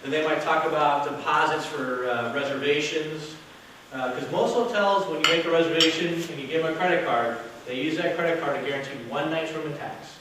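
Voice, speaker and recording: A man giving a loud, casual workshop talk to an audience as a hotel sales director, with no microphone. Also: a little high, a little fast. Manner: casual, chatty, with fillers. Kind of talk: workshop presentation to a live audience. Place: meeting room with an audience. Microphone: distant camera, no microphone. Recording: very echoey room from far off with noise.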